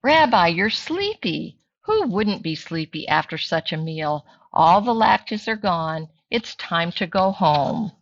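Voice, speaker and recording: A woman's voice: on the low side, sing-song, slow, few pauses, no echo, muffled recording, full character voice. A woman voicing a kindly, chatty old grandmother in a children's picture book, fond and fussing, with singsong exclamations.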